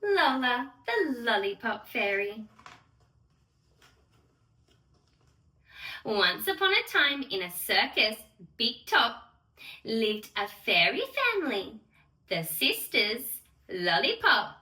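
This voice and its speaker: A sweet young fairy played by a woman tells bedtime stories in a very high, sing-song voice that swoops up and down. She gushes straight to little children, excitable and unhurried. The sound is roomy and a little far from the microphone. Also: heavily acted. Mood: storytelling wonder. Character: sweet, excitable young female storytelling fairy who loves bubbles. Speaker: children's storyteller and channel host. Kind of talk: children's bedtime story read-aloud. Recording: roomy echo, distant microphone.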